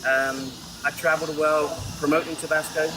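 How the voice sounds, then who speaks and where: A man's voice: a little high, level tone, moderate pace, noisy background. A man working as a corporate chef, welcoming a guest in a friendly, enthusiastic way. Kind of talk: welcoming guide talk. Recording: slightly distant with music behind.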